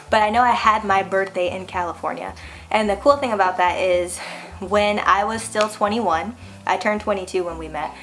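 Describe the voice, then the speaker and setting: A woman in her thirties from Hawaii, hosting a relaxed vlog and telling a personal story with frequent laughs and lots of "like". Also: medium pitch. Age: 30s. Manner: chatty, laughs often, lots of "like". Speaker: vlogger host. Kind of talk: casual storytelling chat.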